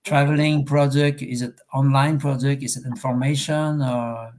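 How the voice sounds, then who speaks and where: A man from Quebec with a French-Canadian accent, French as his first language, interviewing a guest in a live online chat, pausing often with fillers and restarts. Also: mid-range voice, level tone, darkish tone. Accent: Québécois French. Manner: hesitant, many fillers and restarts.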